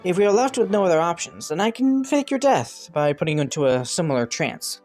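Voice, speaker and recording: A woman's voice: lowish voice, big pitch swings, a little fast, darkish tone, faint music behind. A woman playing a young scheming prince in a romantic audio roleplay, courteous and formal, with flustered stammers. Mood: businesslike, composed.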